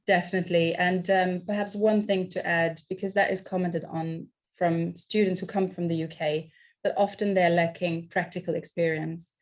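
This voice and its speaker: A woman's voice: on the low side, fairly even intonation, normal speed. A young woman on a video call, earnestly encouraging students as a career panel speaker.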